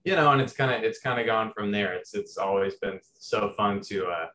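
A man's voice: on the low side, normal speed, fairly bright tone, slight background noise. A young man from Southern Indiana, a composer guest in a casual interview, talking hesitantly with many restarts and fillers.

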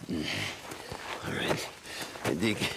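An actor with an American accent playing a stubborn, ailing biker in a video game, gruff, slurred and gravelly. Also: male voice, slow, very noisy, close to an everyday voice. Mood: groggy, wry. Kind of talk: naturalistic video game acting.

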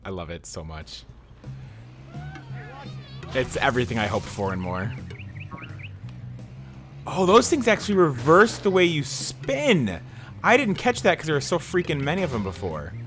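A man giving casual, excitable let's-play commentary while he drives in a game, thinking aloud. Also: mid-range voice, unhurried, long pauses. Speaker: gaming YouTuber. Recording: game music behind.

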